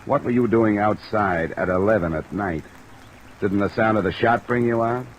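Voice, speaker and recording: Low-pitched hard-boiled investigator played by a man. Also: moderate pace, dull audio, a little hiss or hum, lightly acted. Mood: accusing, firm. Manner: clipped, hard-boiled, matter-of-fact. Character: tough, dogged, cool-headed insurance investigator. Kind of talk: old-time radio drama acting and narration. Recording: old radio recording under light rain.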